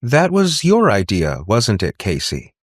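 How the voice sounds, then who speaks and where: A man's voice: on the low side, huge pitch swings, unhurried, dry recording, pitched above the performer's own voice, exaggerated voice. A man acts out an anxious, conciliatory aunt for an audiobook. She speaks tentatively, eager to connect, lifted above the narrating voice and recorded close and dry. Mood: cautious. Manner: tentative, placating. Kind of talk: audiobook character dialogue.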